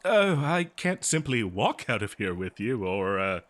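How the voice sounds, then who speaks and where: A man's voice: lowish voice, sing-song, a little slow, fairly bright tone. A man playing an eager, kindly archaeologist in a fantasy audio roleplay, speaking in a hesitant, stammering, scholarly way straight to the listener. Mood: gentle, reassuring. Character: eager, kindly male archaeologist befriending a lonely monster. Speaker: audio drama performer. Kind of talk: scripted fantasy audio roleplay, one-sided dialogue to listener.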